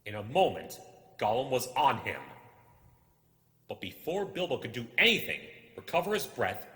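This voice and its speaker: Animated male storyteller in long reverb. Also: medium pitch, moderate pace. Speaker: storyteller, reader. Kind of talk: dramatic audiobook-style narration of suspenseful fantasy. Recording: echoing hall-like reverb.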